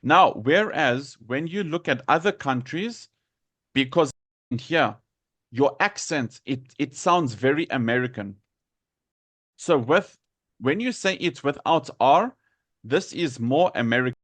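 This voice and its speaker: A South African man teaching English in a live lesson, deliberately repeating and enunciating words for learners. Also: medium pitch, lively intonation, a bit bright, no room sound. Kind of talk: live lesson explaining pronunciation to students.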